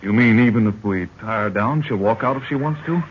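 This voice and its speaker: An actor playing an anxious, deferential young expedition assistant in an old radio drama, earnest and urgent. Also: male voice, fairly low pitch, fairly quick, breathy edge. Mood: worried. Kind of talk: 1940s radio acting. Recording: muffled, noisy old radio recording.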